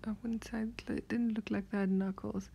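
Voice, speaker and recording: A woman with a South African accent rambling softly through a strange dream, often half-whispering and hesitating with many fillers. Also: mid-range voice, flat delivery, normal speed, gravelly, husky. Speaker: vlogger.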